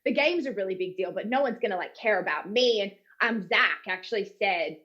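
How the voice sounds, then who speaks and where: A woman's voice: mid-range voice, expressive, a little fast, a bit bright. Muffled lo-fi audio, aussie woman voice actor just chatting away, kinda rambly. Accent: Australian. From Australia. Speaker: voice actor being interviewed. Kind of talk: casual chat.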